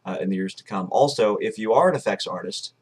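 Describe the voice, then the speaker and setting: Male, 20s, somewhat low register, casual and wordy film-director host. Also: fast talker, slightly rough. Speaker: film director hosting a video blog. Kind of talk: casual interview and promotional chat. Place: furnished room at home, indoors.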